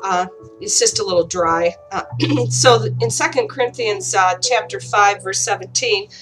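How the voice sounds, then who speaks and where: A woman with a dry voice giving an informal online Bible teaching, halting often with fillers and restarts. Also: mid-range voice, a bit bright, soft background music, rough recording. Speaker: online preacher.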